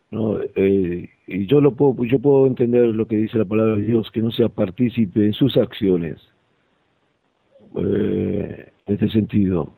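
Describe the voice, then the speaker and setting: A man talking reflectively about faith in a halting, searching way over a thin phone-like connection. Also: on the low side, vocal fry, no echo. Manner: hesitant, halting. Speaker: participant in a religious discussion. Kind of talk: reflective religious talk.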